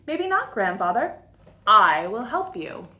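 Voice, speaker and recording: Woman acting male trickster raccoon, storybook character, boastful, taunting, loud. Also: medium pitch, sing-song, slow, roomy sound, dull audio, heavily acted. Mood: sly.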